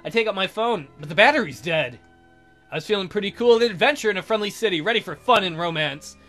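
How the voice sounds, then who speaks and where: A woman's voice: mid-range voice, expressive, fast talker, a bit bright. A woman narrating a visual novel aloud, stumbling now and then and correcting herself. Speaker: let's player reading a visual novel aloud. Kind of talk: story narration read aloud with character voices. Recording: music behind the voice. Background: guitar game music.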